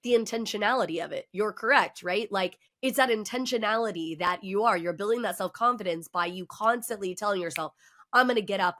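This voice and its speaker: A woman hosting a podcast, talking in a casual, emphatic way with a loud voice and frequent "right?" and "okay?" asides. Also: medium pitch. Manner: self-described loud voice. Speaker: podcast host. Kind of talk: casual conversation.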